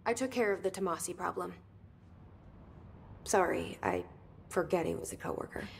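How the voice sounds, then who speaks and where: An actress playing a resolute, candid young woman who runs an agency in a video game, plain-spoken and measured. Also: lowish voice, huge pitch swings, vocal fry, a little hiss or hum, heavily acted. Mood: candid, tentative. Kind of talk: naturalistic video game acting.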